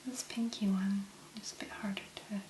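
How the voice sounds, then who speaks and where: A woman speaking very softly and breathily in a gentle, unhurried ASMR manner as she talks through a demonstration. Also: mid-range voice, flat delivery, reverberant, slight background noise. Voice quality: whispery, breathy. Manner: gentle, unhurried, murmuring to the listener. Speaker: ASMR video host. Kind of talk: soft-spoken ASMR make-up demonstration.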